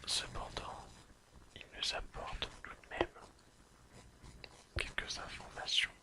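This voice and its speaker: Slow ASMR whisperer. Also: poor audio. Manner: careful reading, apologises and corrects slips. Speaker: ASMR video creator. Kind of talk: whispered ASMR episode recap.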